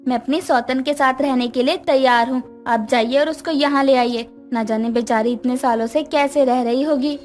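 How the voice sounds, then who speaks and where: A long-suffering middle-aged wife, played by a woman in a narrated moral story. She is meek and self-sacrificing, with a high voice over music. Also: steady tone, normal speed, hardly any pauses, a little mellow, close to an everyday voice. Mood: resigned.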